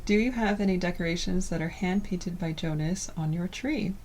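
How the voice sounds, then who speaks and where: A woman from California in her thirties, co-hosting a cozy lifestyle video in a soft, slow, gentle way. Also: fairly low pitch, a little hiss or hum. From California, USA. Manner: soft, gentle, slow delivery with light laughs. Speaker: co-host of a cottage lifestyle channel. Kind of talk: casual scripted chat to camera.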